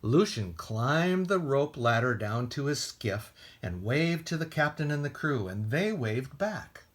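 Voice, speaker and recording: Male storytime reader for kids, lively and swooping intonation, slightly husky tone. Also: mid-range voice, unhurried. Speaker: library storytime host and reader. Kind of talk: expressive read-aloud storytelling for children.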